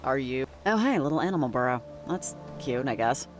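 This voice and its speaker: A woman's voice: rumbling low, lively intonation, fairly quick. Game role: laid-back, sarcastic woman, dry and understated, naturalistic delivery, light music underneath. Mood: puzzled, sceptical. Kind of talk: naturalistic video game dialogue.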